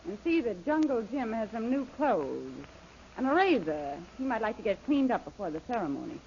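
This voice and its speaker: An actress playing a bossy, scheming femme fatale in an old radio serial, commanding and sly. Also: female voice, on the high side, very animated, normal speed, a little mellow. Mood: brisk, imperious. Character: bossy, scheming siren villainess, beautiful and vain. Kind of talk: 1930s radio serial acting. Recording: noisy, muffled old-time radio recording.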